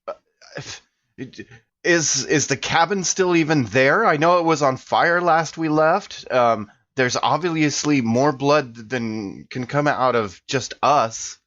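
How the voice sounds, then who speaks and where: A man playing an earnest, defensive detainee in an improvised tabletop game, protesting in a halting, everyday voice. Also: fairly high pitch, a bit bright, muffled recording, voice pushed up. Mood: indignant.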